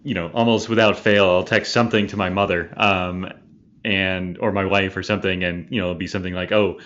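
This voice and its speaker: Male podcast host, lowish voice, friendly and halting with lots of fillers, muffled lo-fi sound. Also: little pitch movement, a bit bright. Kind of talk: casual podcast chat.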